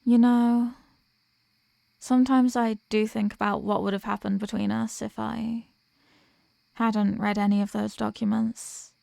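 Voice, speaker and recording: A woman playing a remorseful villain and former hero, confessing to a lost love in a halting, earnest dramatic monologue. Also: fairly high pitch, little pitch movement, gravelly, dark tone, dry recording. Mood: wistful. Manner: halting, earnest, pausing between phrases. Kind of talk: dramatic audio roleplay monologue, voicemail confession.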